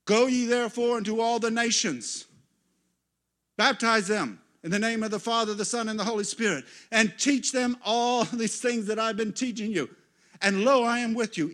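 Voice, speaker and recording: A man preaching in a church, telling stories and swinging from quiet asides to loud, excited exclamations. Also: high-pitched. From United States. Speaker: guest pastor. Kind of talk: sermon.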